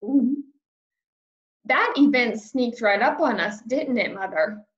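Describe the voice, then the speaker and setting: A woman voicing an excitable, brash kindergarten girl from a storybook, chatty and emphatic with childish grammar. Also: on the high side, slow, reverberant, lo-fi sound. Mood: nervous.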